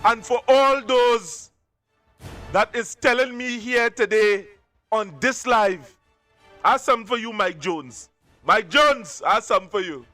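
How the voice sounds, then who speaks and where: A Trinidadian man rants about politics on a live stream in a very high, bright, sharp voice. He scolds his listeners in local dialect and keeps repeating himself for emphasis. Faint music sits behind him. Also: a little slow.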